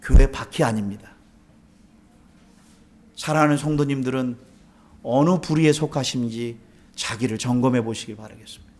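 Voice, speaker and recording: A man's voice: mid-range voice, moderate pace, long pauses, darkish tone. A man from South Korea preaching as a pastor, conversational and emphatic, with rhetorical questions and repeated key phrases. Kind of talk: sermon with rhetorical questions and anecdotes.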